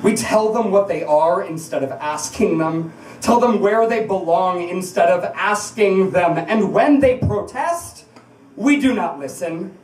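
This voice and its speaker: A woman's voice: fairly low pitch, a little slow, darkish tone. A woman performing an impassioned spoken-word piece to a live audience in a bar, emphatic and confrontational, with rhetorical repetition. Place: indoors at a bar, live event. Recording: echoey bar room, distant microphone.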